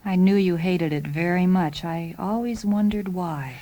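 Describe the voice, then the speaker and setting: A woman playing a calm, devoted talking car in a radio drama, speaking in a measured, precise, matter-of-fact way. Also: lowish voice, natural voice. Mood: quietly devoted.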